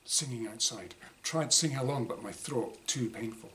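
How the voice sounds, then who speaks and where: A man reads a diary-style short story as its author, in a bright, forceful voice of medium pitch. He delivers it dramatically in clipped phrases with pauses. There is some noise behind him. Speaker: author reading his own short story. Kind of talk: dramatic first-person short story reading, diary entries. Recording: clearly noisy room ambience.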